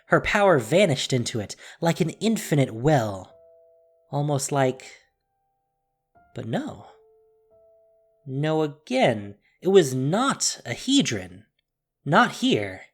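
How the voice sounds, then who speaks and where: Expressive, dramatic fantasy story narrator. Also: medium pitch, slow, pauses often, faint music behind.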